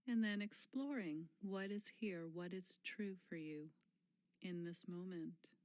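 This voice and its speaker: A woman leading a guided mindfulness meditation in a gentle, unhurried manner, pausing often and letting phrase endings fall soft and breathy. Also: lowish voice, no room sound, studio quality.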